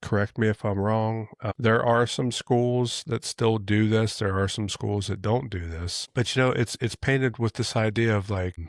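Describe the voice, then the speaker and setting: A man talks through a casual monologue as the host of his own show. His voice sits very low, and he speaks in an earnest, conversational way, often restarting his sentences. The sound is dry, with no room echo. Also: fairly even intonation, normal speed, few pauses. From United States. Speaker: podcast host.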